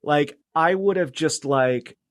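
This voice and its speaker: A man's voice: on the high side, slow, dry recording, studio quality. A middle-aged American man chatting casually as a podcast guest, reflective and loosely rambling. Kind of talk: casual conversational interview chat.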